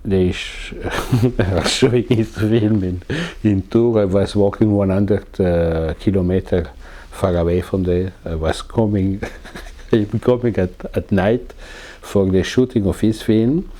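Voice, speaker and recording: Slow, deep-voiced Swiss man searching for words. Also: fairly even intonation, dark tone. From Italian-speaking Switzerland. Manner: halting, searching for English words, frequent uh fillers. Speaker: cinematographer. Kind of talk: recorded interview.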